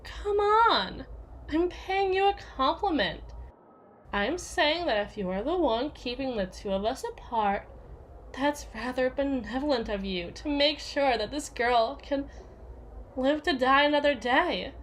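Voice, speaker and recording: Woman playing a high-voiced, domineering jealous yandere. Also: expressive, a little slow, a little hiss or hum. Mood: mocking, sly. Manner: sarcastic, taunting, theatrical. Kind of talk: ASMR roleplay audio drama.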